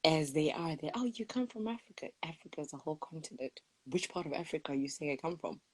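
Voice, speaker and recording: A young woman from Zimbabwe in casual conversation, telling her own experiences with lively storytelling and quoted voices. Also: low voice, fast talker, husky. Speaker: guest sharing experiences.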